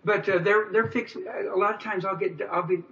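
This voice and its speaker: A man's voice: sits high, fairly even intonation, a little fast, a bit bright. A sixty-year-old man with an American accent, chatting enthusiastically as the guest in a casual radio interview. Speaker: interview guest, mobile chiropractor. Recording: distant, muffled radio capture.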